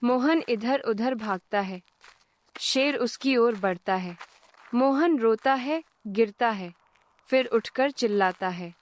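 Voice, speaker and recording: A woman narrating a moral cartoon story in a calm, measured voice-over. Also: fairly high pitch.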